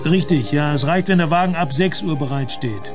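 Male minister, played by an actor: courteous, apologetic, halting, slightly high pitch, radio thriller. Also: over music, close to an everyday voice. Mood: apologetic, hurried. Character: polite, flustered male government minister on phone. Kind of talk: radio drama acting.

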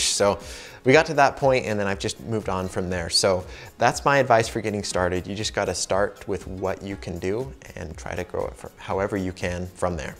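A man in his twenties with an American accent, answering fan questions to camera in an informal, conversational way. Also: on the low side. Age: 20s. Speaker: host, ring maker. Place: indoor workshop with wooden wall.

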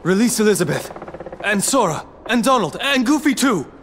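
An actor playing an earnest, defiant young adventure hero in a video game, urgent and declamatory. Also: male voice, sits high, very animated, moderate pace, fairly bright tone, slight background noise. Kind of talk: video game cutscene acting.

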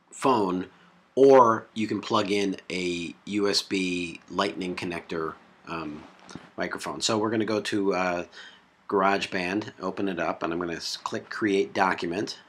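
A man presenting a software tutorial step by step in a halting way, recorded on a laptop microphone. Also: sits low. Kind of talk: hands-on software tutorial narration. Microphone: built-in laptop microphone. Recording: noisy laptop mic sound.

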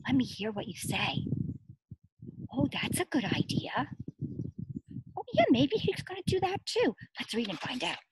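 Animated, husky woman reading to little kids. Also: fairly high pitch, dry recording, low-quality recording. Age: middle-aged. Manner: animated, exaggerated expression, asking questions and pausing for answers. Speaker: preschool teacher reading a storybook aloud. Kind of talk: interactive picture-book read-aloud for young children. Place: outdoors among trees and shrubs.

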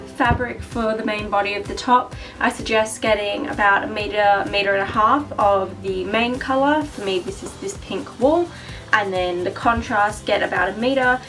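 A woman's voice: medium pitch, recorded from a distance. A woman in her twenties with a slightly nasal voice from a cold, chatting through a sewing tutorial with frequent "um" fillers. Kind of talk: casual step-by-step voiceover.

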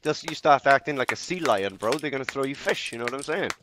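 A man's voice: medium pitch, animated, fast. A man arguing in online game voice chat, mocking, salty and swearing freely. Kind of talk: heated banter in game voice chat. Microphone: gaming voice chat mic. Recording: very noisy game voice chat, clicking.

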